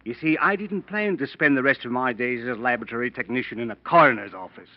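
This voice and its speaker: An actor playing a smug, well-spoken blackmailer in an old-time radio drama, polite, mocking and quietly threatening. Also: male voice, mid-range voice, quick pace, dry recording, lightly acted. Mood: smug, self-satisfied. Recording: muffled old radio broadcast recording.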